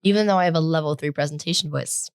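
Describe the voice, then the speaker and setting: A teenage girl co-hosting a podcast in casual chat, talking loudly and rambling with lots of "like" fillers. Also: low voice, fast talker, smooth, no room sound, studio quality. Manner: loud, projecting, rambling with many "like" fillers. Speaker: podcast co-host.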